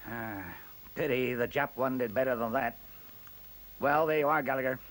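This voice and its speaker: A man's voice: medium pitch, moderate pace, a bit bright, close to an everyday voice. A male actor playing an arrogant, sceptical professor in a radio play, with a precise and pompous manner. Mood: dismissive, jaunty. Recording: faint hiss on radio play recording.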